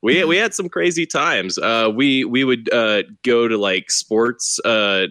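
A stand-up comedian from Texas chatting in an interview, telling rambling self-deprecating stories with lots of fillers and laughter. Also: male voice, medium pitch, takes it slowly, bright, forceful tone, dry recording. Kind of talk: casual interview chat.

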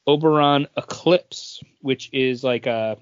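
A man co-hosting a podcast in casual chat, telling things in a halting, conversational way. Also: medium pitch, clear, resonant tone, no echo. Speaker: podcast co-host. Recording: dull lo-fi audio.

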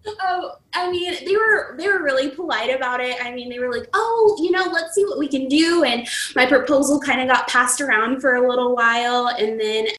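A woman's voice: high voice, moderate pace, fairly bright tone, reverberant, poor audio. A woman in her twenties from New Mexico, a podcast guest telling her story in a bubbly, giggly way with many fillers. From New Mexico, USA. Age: 20s. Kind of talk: casual interview storytelling.

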